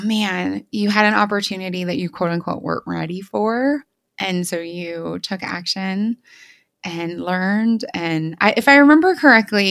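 A woman's voice: medium pitch, fairly bright tone, dry recording, studio quality. A woman hosting a podcast in an enthusiastic, conversational way, gushing and emphatic in informal language. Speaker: podcast host.

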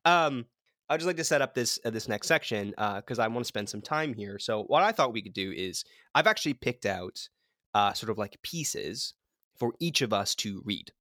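A man hosting a podcast in a casual, playful, excitable chatting style. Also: lowish voice, normal speed, no echo, clean studio sound. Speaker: podcast host.

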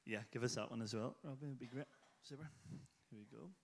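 A man's voice: mid-range voice, fast, gravelly, slightly rough. Hesitant man hosting. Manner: hesitant, with many fillers and restarts. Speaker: church leader introducing a guest. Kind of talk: casual introduction and interview.

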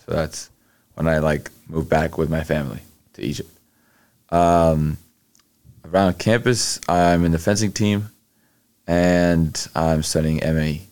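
A man's voice: very deep voice, steady tone, darkish tone, clear, resonant tone. A young man answering interview questions casually, with lots of fillers and restarts. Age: young adult. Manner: halting, many fillers and restarts. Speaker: guest, college athlete.

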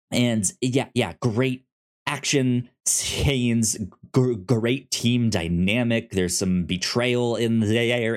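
A man co-hosting a podcast, chatting casually about films in a halting way, often stammering and repeating syllables. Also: lowish voice. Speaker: podcast co-host. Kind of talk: casual film review chat.

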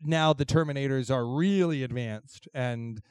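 A young man with a voice gone hoarse, co-hosting a live panel show and rambling playfully with lots of fillers. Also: mid-range voice, a little slow, a little mellow, no echo, clean studio sound. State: hoarse from losing his voice. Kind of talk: casual comedic banter with a live audience.

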